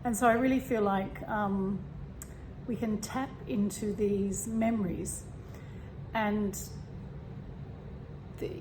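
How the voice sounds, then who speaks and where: A woman with a slightly croaky voice talks in a loose, meandering way, often pausing on fillers and clearing her throat. Her pitch sits in a middle range for a woman. A fan hums plainly in the background of her home recording. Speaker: spiritual vlogger. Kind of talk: casual spiritual talk. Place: home room with a fan running. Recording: steady fan hum behind. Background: fan noise.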